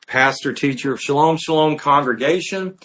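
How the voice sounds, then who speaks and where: A man's voice: fairly high pitch, normal speed, a bit bright. A man, a pastor teacher, giving an earnest, emphatic livestream teaching with frequent "uh" fillers. He speaks through a built-in mic with no external microphone, in an indoor room with natural acoustics. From United States. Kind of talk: sermon-style livestream teaching.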